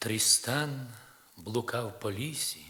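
A man reading poetry aloud in a solemn, expressive, dramatic recitation with measured pauses, from a vinyl record. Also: mid-range voice. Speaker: poetry reader.

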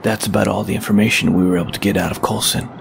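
A man as a low, brooding vigilante. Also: steady tone, vocal fry, a little hiss or hum. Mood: brooding, grave. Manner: grave, clipped, deliberate. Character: brooding, terse male masked vigilante detective. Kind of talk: first-person scripted narration, audio drama. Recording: loud tense music behind.

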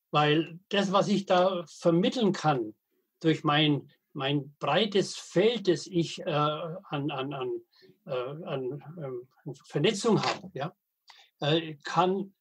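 A man, telling anecdotes as an interview guest with halting, hesitant phrasing and dry humor. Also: a little high, breathy edge, rough recording. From Middle Franconia, Germany. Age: 80s. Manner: halting, frequent hesitations and restarts. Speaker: guest, veteran wastewater engineer and editor. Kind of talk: podcast interview, storytelling.

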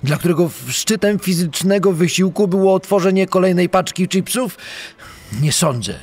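Husky, bitter father. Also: high voice, moderate pace, darkish tone. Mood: bitter sarcasm. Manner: sarcastic, confrontational. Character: bitter, desperate father of a missing boy. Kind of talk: dramatized podcast re-enactment.

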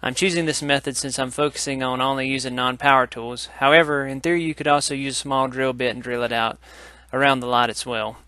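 A man hosts a casual how-to tutorial, explaining in an instructional way. His voice sits at an ordinary male pitch with a bright, forward edge. The sound is dry, with very little room in it. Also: fairly even intonation, brisk, hardly any pauses, no echo. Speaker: woodcarving tutorial host.

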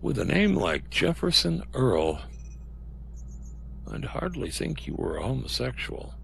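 An actor playing a stern, suspicious state official in a dystopian audio drama, officious and needling. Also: male voice, mid-range voice, sing-song, a little slow, creaky, fairly bright tone, full character voice. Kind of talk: dystopian radio drama acting. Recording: faint noise and hum.

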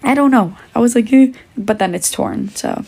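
A woman's voice: on the high side, expressive, moderate pace, vocal fry, darkish tone, husky. A young woman chatting on a phone livestream, answering fan questions while she is losing her voice. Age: young adult. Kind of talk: casual livestream chat. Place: indoors, small room. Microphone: phone held at arm's length.

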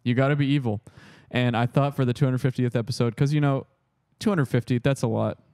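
A man hosting a comedy podcast, leading the segment in deadpan casual banter. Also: medium pitch, fast, no echo, studio quality. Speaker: podcast host.

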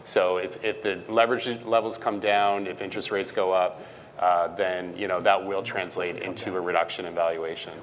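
A middle-aged man giving halting, unscripted commentary as a panelist on a conference stage. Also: low-pitched, level tone. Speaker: panelist, finance professional. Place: conference stage in a large hall. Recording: reverberant hall, faint background noise.

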